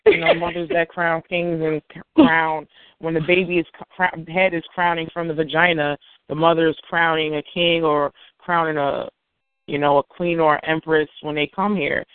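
Confident, lecturing female spiritual reader with a smooth voice, heard over a tinny phone line. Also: sits low, fairly even intonation, normal speed, dry recording. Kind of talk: casual chat, explaining.

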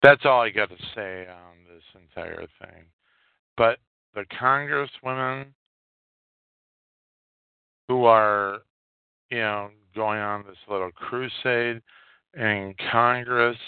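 A man hosting a solo podcast, giving unscripted political commentary in a halting way and repeating phrases for emphasis. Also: medium pitch, clean tone, dry recording. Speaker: podcast host. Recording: muffled, tinny phone-like sound.

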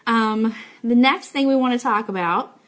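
A woman's voice: fairly high pitch, moderate pace, a bit bright. A woman hosting a fan live show, chatting informally to viewers as she makes announcements and thanks them. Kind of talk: casual livestream announcements to viewers. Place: office.

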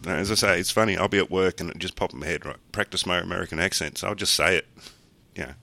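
A man's voice: deep voice, fast talker, creaky, bright, forceful tone. A man with an Australian accent, co-hosting a casual podcast chat in a laid-back, jokey way. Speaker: podcast co-host.

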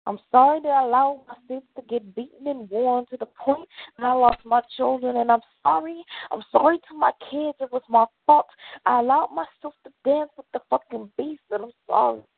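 A woman performs a confessional spoken word poem in a dramatic, deliberate way, with long pauses between phrases. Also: sits high, vocal fry, no room sound, narrow, tinny audio.

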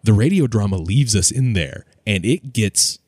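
A man's voice: fairly low pitch, animated, normal speed, a little mellow, slight background noise. A man in casual fan discussion as a podcast host.

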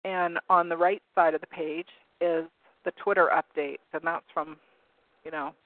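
A hoarse man losing his voice, hosting a call-in talk show in a rambling, casual way while reading from web pages. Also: fairly high pitch, fairly even intonation, moderate pace, dry recording. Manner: rambling, searching for words with many ums. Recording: narrow phone-like line, dry.

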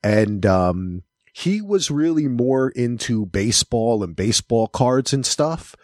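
A man's voice: medium pitch, animated, slow pace, darkish tone, no echo, studio quality. A man in his forties hosting a podcast, chatting loosely with trailing sentences. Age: 40s. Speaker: podcast host. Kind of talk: casual podcast chat.

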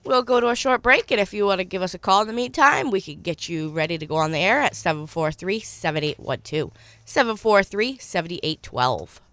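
A woman with a cold hosting a call-in swap show on the radio, reading listings and repeating phone numbers slowly and clearly. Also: medium pitch, lively intonation, a bit bright, no room sound. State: stuffy from a cold.

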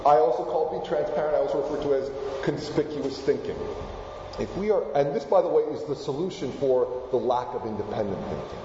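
A man from Argentina gives an animated talk in a large, echoing hall. His voice sits somewhat high but sounds mellow and warm. The recording is dull, and faint room noise sits underneath. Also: fairly quick. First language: Spanish. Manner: animated, asks the audience questions. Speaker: keynote speaker. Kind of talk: lively presentation to a live audience.

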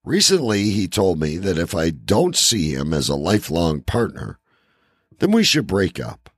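A man's voice: low voice, huge pitch swings, measured pace, husky, no echo, clean studio sound. A man co-hosting a podcast in casual banter and letter reading, with a blunt, profane way of talking. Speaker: podcast co-host.

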